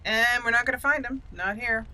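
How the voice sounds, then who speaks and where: A woman's voice: on the high side, a little fast, bright, forceful tone, low-quality recording. A woman hosting a casual role-play let's play, thinking aloud playfully and slipping into in-character lines. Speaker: gaming channel host, role-player. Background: faint game music.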